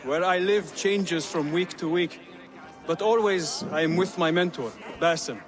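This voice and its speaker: An actor playing a guarded young foreign apprentice, gentle and formal, in video game dialogue. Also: male voice, a little high, unhurried, close to an everyday voice. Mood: polite, guarded. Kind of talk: dramatic game cutscene dialogue. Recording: busy crowd behind.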